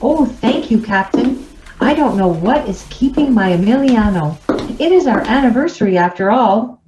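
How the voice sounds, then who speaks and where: A woman's voice: a little high, animated, moderate pace, a little mellow, reverberant, slight background noise, lightly acted, rough recording. A woman playing an anxious, lonely middle-aged wife in a radio play, speaking in a proper, theatrical manner. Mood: sweet, flustered. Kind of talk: radio drama acting.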